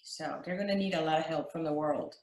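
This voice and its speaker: An older woman from Cuba recounting her life as an interview guest, speaking in measured, halting phrases. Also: sits low, a little mellow. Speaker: interview guest, retired flight attendant. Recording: echoey, roomy sound.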